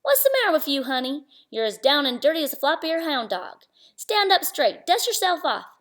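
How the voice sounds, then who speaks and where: Woman doing a brisk, bossy old frontier fairy godmother; high, lively, folksy drawl. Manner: folksy Western drawl, no-nonsense scolding.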